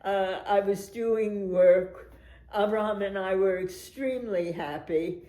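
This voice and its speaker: An older woman from the States recalls her life on stage at an unhurried pace, lively and quick to laugh. Her voice carries a roomy echo around it. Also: medium pitch. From United States. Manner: animated storyteller who laughs often. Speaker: veteran stage actress. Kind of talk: reminiscing documentary interview.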